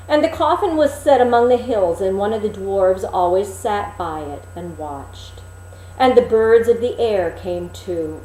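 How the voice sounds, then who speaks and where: Slow-paced woman storyteller in a roomy space. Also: mid-range voice, warm tone. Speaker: storyteller reading a fairy tale. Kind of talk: expressive, measured storybook reading. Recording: roomy echo, faint background noise.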